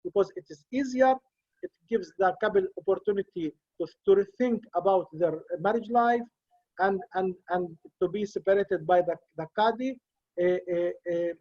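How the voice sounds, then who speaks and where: A man whose first language is Arabic, speaking English on a video call as a guest judge, halting and full of fillers and restarts. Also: high-pitched, a little mellow, no room sound. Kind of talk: Zoom talk in a second language. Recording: dull lo-fi video call audio.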